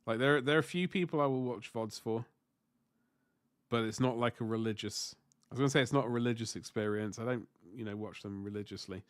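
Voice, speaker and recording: A man's voice: mid-range voice, fairly quick. Stuffy man chatting on stream. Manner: rambling, self-correcting, mild swearing. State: stuffy and sore-throated from flu. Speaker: livestream host painting miniatures. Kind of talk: casual chat answering viewer comments.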